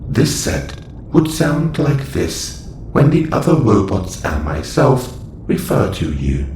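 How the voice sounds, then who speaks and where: A man's voice: medium pitch, big pitch swings, takes it slowly, a little mellow. A man playing a formal, clinical caretaker robot in a sci-fi audio roleplay, speaking in stilted, precise phrases. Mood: clinical, instructive. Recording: roomy echo, faint background noise.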